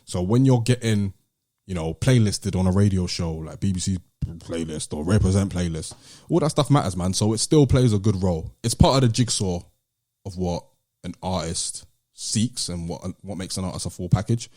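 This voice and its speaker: A British man hosts a casual podcast in a low, very mellow voice. The sound is close and dry. He explains things step by step, in a conversational way. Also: expressive, moderate pace, no room sound. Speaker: podcast host.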